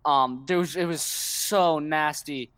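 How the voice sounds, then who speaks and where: A man's voice: a little high, takes it slowly, fairly bright tone, smooth, no echo. A teenage boy co-hosting a casual podcast, telling a story in a rambling way and correcting himself as he goes, over a video call. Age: teens. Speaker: podcast co-host.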